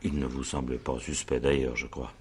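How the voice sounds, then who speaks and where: An actor playing an examining magistrate in a French radio crime drama, probing and insistent, with a husky voice and pointed tag questions. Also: male voice, very deep voice, flat delivery, moderate pace, a little mellow, exaggerated voice. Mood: probing, measured.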